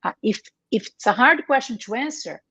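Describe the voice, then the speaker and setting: A woman's voice: a little high, animated, a little fast, pushed and bright, breathy edge, no echo. A woman whose first language is Portuguese, a career coach giving earnest advice as an interview guest, often checking in with tag questions and correcting herself.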